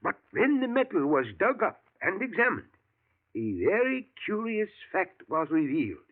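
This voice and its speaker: A genial old professor. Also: male voice, high-pitched, very animated, heavily acted. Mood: confiding. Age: older. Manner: measured, thoughtful, chuckling. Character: elderly male professor, genial, musing scientist. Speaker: professor and scientist. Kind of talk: 1940s radio acting. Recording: tinny lo-fi old radio broadcast.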